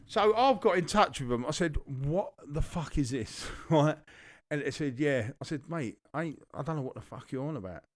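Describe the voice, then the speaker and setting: A man's voice: fairly high pitch, lively intonation, normal speed, hardly any pauses, no echo, clean studio sound. Sweary London man telling stories. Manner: swearing, rambling anecdotal storytelling. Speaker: podcast co-host. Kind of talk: casual storytelling chat.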